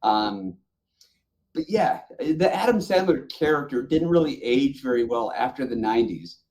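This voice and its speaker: A man's voice: mid-range voice, animated, normal speed, a little mellow. A man chatting casually as a podcast co-host, opinionated and effusive in the way he talks. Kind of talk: casual panel chat.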